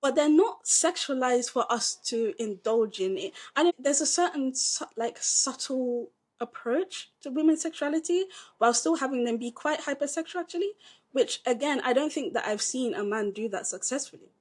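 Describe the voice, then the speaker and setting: A woman's voice: sits high, moderate pace. A British woman giving emphatic film opinions. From Britain. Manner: emphatic, opinionated, animated delivery. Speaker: podcast co-host, film reviewer. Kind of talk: casual review chat.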